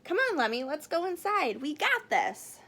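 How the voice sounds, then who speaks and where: A woman voicing an eager young female fairy baker in a storybook, earnest and expressive. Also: high-pitched, normal speed, pitched above the performer's own voice.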